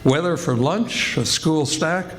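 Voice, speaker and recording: A man's voice: fairly high pitch, slow pace. A man playing an earnest old-time radio announcer, reading scripted 1940s-style copy with polished, theatrical delivery. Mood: upbeat selling. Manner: polished, mock-dramatic sales patter.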